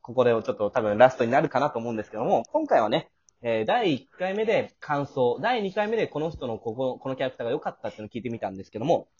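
A jovial, chatty man hosting a casual radio drama cast talk, quick to laugh. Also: medium pitch, big pitch swings, darkish tone, breathy edge, muffled recording. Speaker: host.

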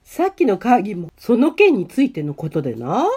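Radio drama male teacher voiced by a woman: lowered, mellow, brotherly, kindly teasing, blunt masculine phrasing. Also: huge pitch swings, normal speed, husky. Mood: matter-of-fact, kind. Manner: casual masculine speech, brotherly. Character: easygoing, oblivious male schoolteacher, kindly and teasing. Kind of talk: amateur radio drama acting.

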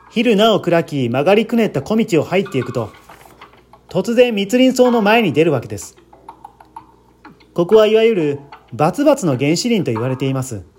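Guy doing an anxious polite man telling his story in an audio drama, high lively voice, bit of noise behind. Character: earnest, anxious man recounting a friend's death.

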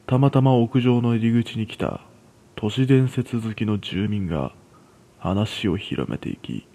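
A man reading a scripted first-person horror story in a measured, hushed storytelling manner. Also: lowish voice.

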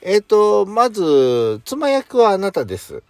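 A man's voice: high-pitched, wildly swooping pitch, unhurried, gravelly, a little mellow, no echo. A man chatting casually as co-host of a podcast. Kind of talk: casual chat. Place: recorded in a parked car. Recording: background music under speech.

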